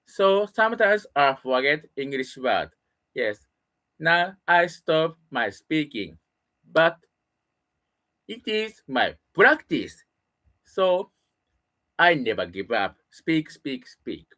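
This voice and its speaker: A middle-aged man with a Japanese accent talks slowly in careful, halting English, his native language being Japanese. His pitch rises and falls a lot between phrases, and he often stops to find a word before going on. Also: sing-song, sharp tone. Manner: halting non-native English with pauses and restarts. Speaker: solo broadcaster practicing English. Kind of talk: casual spoken monologue.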